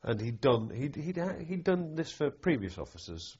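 A man's voice: mid-range voice, very animated, moderate pace, darkish tone, breathy edge. A man putting probing questions in an oral history interview, often rephrasing as he goes. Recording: dull, muffled tape sound.

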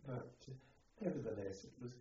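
An elderly man reminiscing in an oral history interview, speaking in a halting, reflective way. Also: mid-range voice, animated. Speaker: interviewee, former army officer. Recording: dull, noisy, echoey, distant microphone.

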